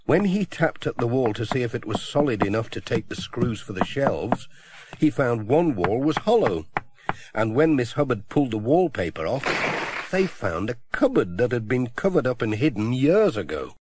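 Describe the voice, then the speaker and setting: A man's voice: mid-range voice, sing-song, normal speed, background noise. A middle-aged man reading a children's storybook aloud as narrator. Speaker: audiobook narrator. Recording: dry close audiobook recording.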